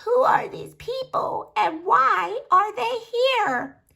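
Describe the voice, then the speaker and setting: A woman voicing an ancient, frail great-granny in a storybook, suspicious yet proud, with a cracking but clear voice. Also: extremely high pitch, animated, slow pace, bright, forceful tone, pitched above the performer's own voice, exaggerated voice.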